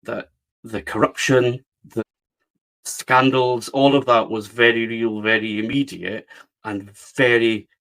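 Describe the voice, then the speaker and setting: A man's voice: mid-range voice, fairly even intonation, takes it slowly, a bit bright, poor audio. A middle-aged man from the west of Scotland, speaking with a West of Scotland accent as a guest, telling his story earnestly with frequent restarts. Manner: earnest, repeats words as he restarts. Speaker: guest, publisher. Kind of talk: reflective storytelling interview.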